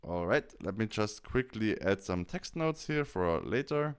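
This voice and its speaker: A man walks through a software tutorial step by step. His voice is fairly low and clear, with very lively, swinging intonation. He speaks as a presenter explaining what to do next. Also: a little slow.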